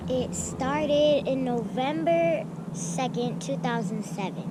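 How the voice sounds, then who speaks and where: A woman's voice: high voice, expressive, slow pace. A young woman giving brief, plain answers to her father's questions in a casual vlog outdoors. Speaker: host's daughter, co-presenter. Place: outdoors at a lakeside park table. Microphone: camera on the table, off to the side. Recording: loud outdoor noise.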